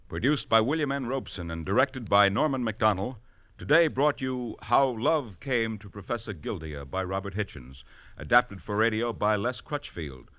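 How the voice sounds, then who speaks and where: A man's voice: on the low side, no room sound. A man announcing the credits of a radio show in a formal, declaiming broadcast manner. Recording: dull lo-fi 1940s radio broadcast.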